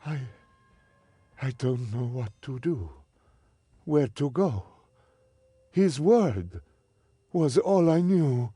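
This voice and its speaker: A lost, despairing man speaks extremely slowly in a breathy, husky voice. It is a dramatic game performance, recorded dry. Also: mid-range voice, lively intonation, dark tone, no echo, full character voice. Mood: forlorn. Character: forlorn male follower, lost and despairing. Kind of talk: dramatic video game voice acting.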